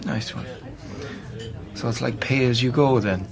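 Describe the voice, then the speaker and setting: A man's voice: mid-range voice, a little slow, gravelly, over music. An actor with an Irish accent playing a wry, resolute man in a radio play, informal and decisive in manner. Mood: breezy, wry. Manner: informal, dryly joking, decisive. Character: wry, resolute Irish man who has chosen to die.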